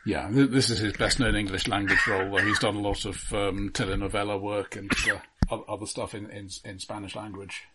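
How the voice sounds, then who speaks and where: A man hosting a casual but analytical film discussion, speaking in a measured, dry and wordy way. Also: on the low side, flat delivery, very noisy. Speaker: film discussion podcast host.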